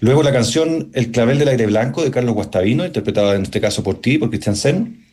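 A man's voice: medium pitch, animated, brisk, darkish tone. A man hosting a radio interview, introducing the music with brief, easy remarks. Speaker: radio host.

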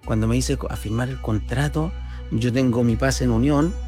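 A man's voice: mid-range voice, moderate pace, dark tone, soft background music. A middle-aged man with a Chilean Spanish accent, a former footballer telling stories from his career in a chatty, reminiscing way. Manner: chatty, reminiscing, repeats himself. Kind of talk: casual interview storytelling.

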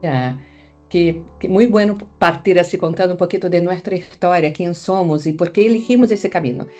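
A woman's voice: lowish voice, moderate pace, dark tone, muffled recording, faint music behind. A middle-aged woman from Brazil with a Brazilian Portuguese accent, a psychologist answering interview questions in a reflective manner with many hesitant fillers. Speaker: psychologist, foundation founder.

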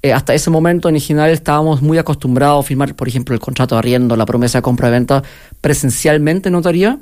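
A man with a German accent speaks Spanish as an interview guest. He is measured and hesitant, with a slightly higher voice. His answers are plain and unhurried. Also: a little mellow. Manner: measured, with many hesitation fillers. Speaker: startup founder, guest.

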